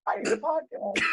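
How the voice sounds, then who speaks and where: A man guest on a podcast telling a story in a casual, animated, jokey way, swearing and jumping up in pitch when excited.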